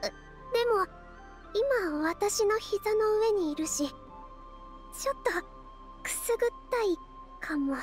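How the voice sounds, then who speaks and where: Shy polite anime girl, soft and really high, faint game music. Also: expressive, slow, long pauses, exaggerated voice. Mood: gentle, bashful. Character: gentle, polite, shy young woman dancer. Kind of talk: Japanese anime game acting.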